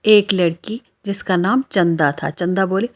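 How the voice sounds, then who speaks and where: A woman tells a scripted children's story as its narrator. Her voice sits a little low and she reads at a slow, measured pace.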